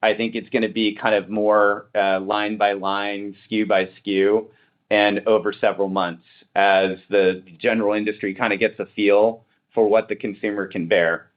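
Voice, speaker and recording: A man speaking as a company executive, answering questions in measured business jargon on a conference call. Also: on the low side, flat delivery. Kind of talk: answering analyst questions, semi-formal business talk. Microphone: conference call line. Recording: muffled conference call audio.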